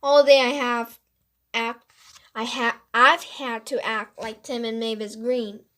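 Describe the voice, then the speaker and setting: A woman voicing a mischievous woman mystery writer in a storybook, explaining with animated emphasis. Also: on the high side, slow pace. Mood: relieved. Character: playful, mischievous woman mystery author confessing her scheme.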